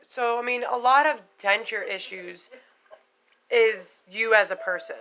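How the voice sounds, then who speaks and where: A woman's voice: fairly high pitch, measured pace, sharp tone, slight background noise, low-quality recording. A middle-aged woman talking casually and frankly in a vlog, chatty, swearing now and then and full of "you know" fillers. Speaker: vlogger sharing denture experiences. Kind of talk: casual unscripted vlog talk.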